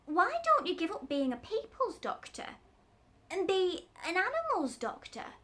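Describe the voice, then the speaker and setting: A woman's voice: very high-pitched, huge pitch swings, unhurried, fairly bright tone, pitched above the performer's own voice, full character voice. Woman doing a rambling folksy tradesman. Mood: eager, wheedling. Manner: rambling, folksy, stumbles over words. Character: chatty working-class man, cat's meat seller.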